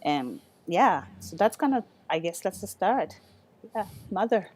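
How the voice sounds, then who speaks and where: A middle-aged woman from Japan, a chef speaking as a guest in a relaxed interview, halting and often restarting her sentences. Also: medium pitch. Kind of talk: casual interview chat.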